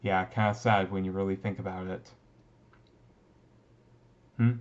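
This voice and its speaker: A man playing an easygoing, resigned teenage vampire classmate in a casual, confiding ASMR roleplay, talking haltingly. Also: low-pitched, flat delivery, dull audio. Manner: halting, conversational, mildly resigned. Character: brooding, easygoing male teenage vampire classmate, secretly thirty-seven.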